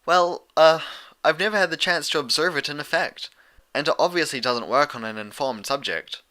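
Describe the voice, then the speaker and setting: A man's voice: a little high, moderate pace, voice pushed up. A man voicing an earnest, bookish female pony who explains things eagerly and a little awkwardly, in a storybook reading. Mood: reassuring. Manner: explanatory, excitable, slightly awkward.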